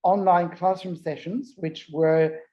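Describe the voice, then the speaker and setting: A man's voice: high-pitched, steady tone, slow, dark tone. South African man on a muffled video call. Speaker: partner organisation co-founder. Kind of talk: presenting over video call. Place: office.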